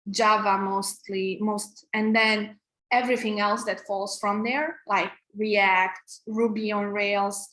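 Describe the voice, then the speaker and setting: A Romanian woman in her thirties teaches a coding class over a video call, with a Romanian accent. She speaks slowly, pausing on fillers and restarting her sentences. Her voice carries the reverb of an echoey room. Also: mid-range voice, a bit bright. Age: 30s. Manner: slow, halting, many fillers and restarts. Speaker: coding instructor. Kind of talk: live online coding class.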